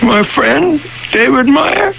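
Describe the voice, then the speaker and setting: An actor playing a bewildered married man who seems possessed, speaking haltingly in old-fashioned radio drama style. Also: very high voice, lively intonation, vocal fry, exaggerated voice. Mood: dazed, flat. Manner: halting, dazed, repetitive. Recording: noisy, dull 1980 radio recording.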